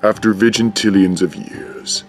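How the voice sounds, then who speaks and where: An actor playing a grave, all-knowing narrator of cosmic horror, solemn and portentous, in scripted audio drama. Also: male voice, fairly low pitch, steady tone, measured pace, faint music behind, close to an everyday voice. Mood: ominous.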